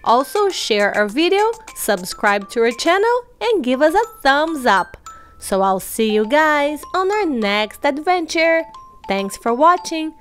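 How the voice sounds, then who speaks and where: High-pitched, coaxing cartoon mommy played by a woman. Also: big pitch swings, a little slow, a bit bright, soft background music, heavily acted. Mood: cheerful. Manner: singsong, coaxing baby talk. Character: playful, coaxing cartoon superhero mommy of a toddler. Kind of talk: children's toy role-play storytelling.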